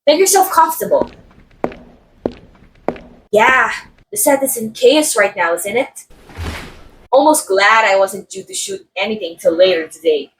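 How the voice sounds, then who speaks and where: Woman acting haughty film star, female, sardonic, theatrical, condescending, very animated intonation, audio roleplay, faint background noise. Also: medium pitch, a little slow, long pauses, lightly acted, poor audio. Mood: breezy, teasing. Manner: theatrical, sardonic, drawling some words for emphasis. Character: haughty, catty film actress, condescending yet playfully menacing. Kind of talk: ASMR roleplay monologue, voice acting.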